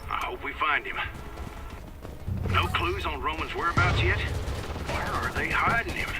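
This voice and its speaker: A man voicing a steady male commander who guides the player over the radio, with a folksy drawl. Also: on the high side, unhurried, recorded from a distance, over loud music. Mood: calm. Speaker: radio handler guiding the player.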